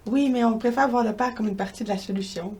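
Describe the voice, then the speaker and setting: A woman from Quebec with a Québécois accent, answering interview questions as a researcher in a measured, academic way. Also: fairly high pitch, darkish tone, breathy edge. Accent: Québécois French.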